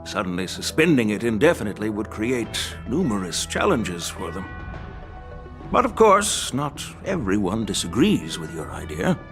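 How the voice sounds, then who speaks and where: An actor playing a calm, shrewd veteran general in a video game, speaking in a measured, instructive way. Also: male voice, on the low side, over music. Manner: measured, deliberate, gently instructive. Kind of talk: scripted video game acting.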